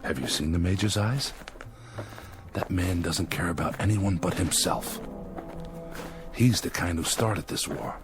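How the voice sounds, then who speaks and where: A man's voice: low voice, unhurried, pauses often, music in the background. An actor playing a worried, earnest male settler in a radio drama, urgent and distrustful of authority. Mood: anxious, urgent.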